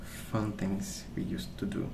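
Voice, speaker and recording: A young man rambling in a gentle, soft-spoken ASMR style, musing aloud without hurry. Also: slow pace. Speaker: ASMR video creator. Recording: echoey room, clear background noise.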